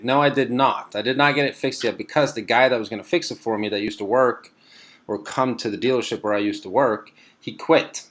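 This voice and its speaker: A young man from Sweden with a lowish voice, chatting on a live stream. He reads out viewer comments and answers them in an easygoing, meandering way, stopping for plenty of ums and uhs. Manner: relaxed and rambling, many fillers. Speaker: car vlogger hosting a live stream.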